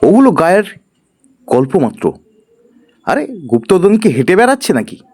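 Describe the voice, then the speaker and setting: A man's voice: high voice, big pitch swings, moderate pace, dark tone, breathy edge, heavily acted. A man playing an honest, sensible young village hero in a fairy-tale cartoon, speaking earnestly. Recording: music behind.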